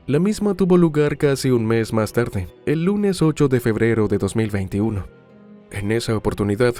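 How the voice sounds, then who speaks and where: A man narrating a scripted true-crime documentary in a formal, measured storytelling manner. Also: mid-range voice, dark tone.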